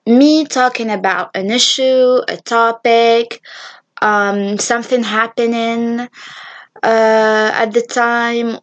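A nineteen-year-old woman whose first language is Arabic, hosting a casual solo podcast and chatting with frequent fillers and restarts. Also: on the high side. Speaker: podcast host.

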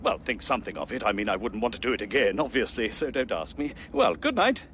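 A man's voice: lowish voice, very animated, quick pace, fairly bright tone, a little hiss or hum. An actor playing a fussy, complacent, oblivious male police inspector in a radio comedy, dithering and awkwardly polite. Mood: flustered.

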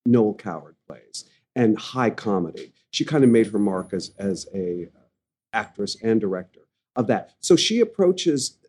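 A man's voice: lowish voice, sing-song, unhurried, a little mellow, breathy edge. A male stage actor in an interview, talking thoughtfully with frequent restarts. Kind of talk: conversational interview.

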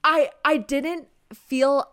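High-pitched young woman, chatty and informal. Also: fairly even intonation, extremely slow, a bit bright. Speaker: podcast co-host. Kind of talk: casual book discussion chat.

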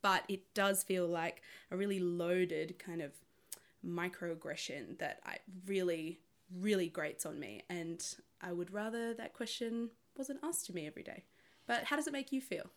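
An Australian woman hosts a casual podcast conversation. She talks in a chatty, animated way and laughs often while telling stories. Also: lowish voice, moderate pace. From Sydney, Australia. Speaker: podcast host.

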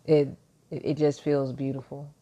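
A man hosting a casual motivational podcast monologue. He talks in a soft, deep voice, quietly so as not to wake his neighbors, and sounds self-assured and reflective, rambling with restarts. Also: level tone, moderate pace, dull audio. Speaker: podcast host. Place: at home, early morning.

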